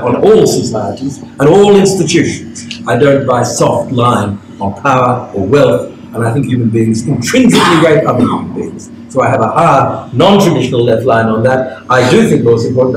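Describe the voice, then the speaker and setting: An older man speaking bluntly and emphatically as a panelist in an academic discussion. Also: medium pitch. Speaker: conference panelist. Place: outdoor conference terrace. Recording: echoey with background noise.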